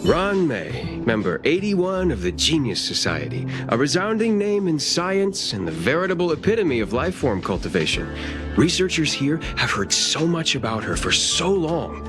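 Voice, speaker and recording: A man's voice: a little high, sing-song, normal speed. A man playing an exasperated, gossipy researcher in a game, theatrical and sarcastic in his delivery. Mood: grandiose. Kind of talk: scripted game dialogue. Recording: music playing behind the voice.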